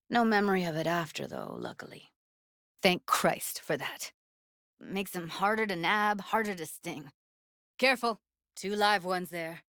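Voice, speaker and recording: A woman's voice: fairly low pitch, a bit bright, dry recording, clean studio sound. A woman voice-acting a tough, blunt but tender-hearted young techie in a video game, with clipped, slangy, sweary phrasing. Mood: urgent, focused.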